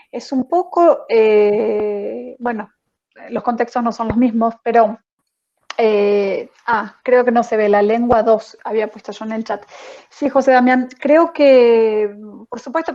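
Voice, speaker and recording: A woman teacher answering a question on an online panel, thoughtful and pausing often with fillers. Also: on the high side, mellow, muffled recording. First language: not French. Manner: thoughtful, many hesitations and fillers.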